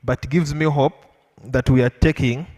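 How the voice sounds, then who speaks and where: A man with a Ugandan accent giving an impromptu answer as a panelist, measured and emphatic with repetition, his voice a little weak from illness. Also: mid-range voice, fairly even intonation, darkish tone. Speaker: panelist at a public lecture. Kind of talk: impromptu public speech to an audience. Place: lecture hall with an audience. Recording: echoey hall with long reverb.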